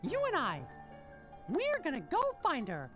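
Game role: a gallant little cartoon mouse king, high falsetto, very swoopy, sincere, music behind. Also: wildly swooping pitch, a little slow, full character voice. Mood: earnest, determined. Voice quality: squeaky falsetto. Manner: earnest, gentle. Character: small, kindly, brave male cartoon mouse king. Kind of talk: video game cutscene acting.

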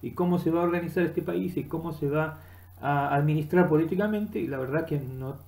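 A middle-aged man with an Argentine accent giving an unscripted, halting monologue that rambles as he searches for words, recorded on a webcam in a room. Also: fairly high pitch, creaky, a little mellow. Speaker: vlogger. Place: small room indoors. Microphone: webcam at desk distance.

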